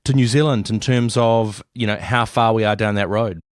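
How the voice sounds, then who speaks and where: A man's voice: fairly low pitch, fairly even intonation, normal speed, smooth, no room sound, studio quality. A man hosting a podcast interview, asking questions in a curious, conversational way. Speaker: podcast host.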